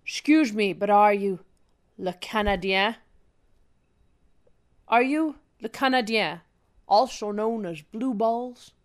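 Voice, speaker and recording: A woman voicing a suave male secret agent in a spy spoof, deliberate and deadpan. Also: mid-range voice, lightly acted. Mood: deliberate, serious.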